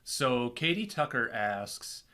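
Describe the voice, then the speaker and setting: An easygoing man co-hosting a live Q&A, reading viewer comments aloud with a playful touch. His voice sits at a middle pitch for a man. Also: big pitch swings, slow, pushed and bright. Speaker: co-host reading viewer questions. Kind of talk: casual live Q&A chat.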